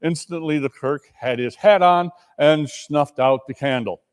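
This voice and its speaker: A man narrating a classic story in a deliberate, suspenseful dramatic reading. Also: fairly high pitch, clear, resonant tone. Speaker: narrator and performer.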